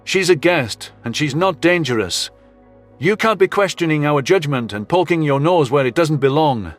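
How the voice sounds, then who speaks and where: A man's voice: on the high side, lively intonation, moderate pace, faint music behind, lightly acted. A man voicing an exasperated human soldier, stern and flustered, in an audio sci-fi story. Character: exasperated human male soldier scolding a subordinate.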